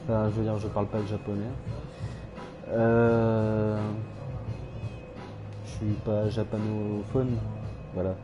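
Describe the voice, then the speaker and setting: A man's voice: lowish voice, little pitch movement, slow pace, pauses often, music in the background, rough recording. A man streaming a game, rambling hesitantly with many fillers and self-corrections, with dry irony. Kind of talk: casual rambling game commentary.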